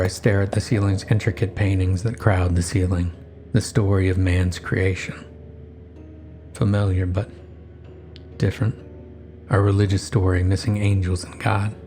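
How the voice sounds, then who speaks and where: A man narrating a first-person horror story in measured, somber short phrases. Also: low voice, darkish tone, a little hiss or hum.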